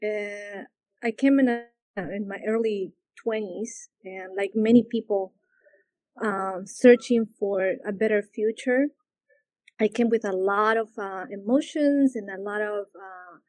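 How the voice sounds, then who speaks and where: A woman from Peru, a yoga teacher, answering interview questions slowly and with many pauses and fillers. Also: mid-range voice, a little mellow, no room sound, poor audio. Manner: hesitant, searching for words, many fillers.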